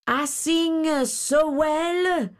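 A woman voicing a boastful, well-mannered grasshopper in a storybook, with vain, drawn-out bragging. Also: high-pitched, pitched above the performer's own voice. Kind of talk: storybook character voice.

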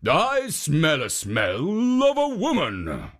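A storyteller doing a gruff, suspicious ogre. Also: mid-range voice, huge pitch swings, takes it slowly, voice pushed up, exaggerated voice. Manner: sniffing, suspicious, blunt. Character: hairy man-eating male ogre, gruff.

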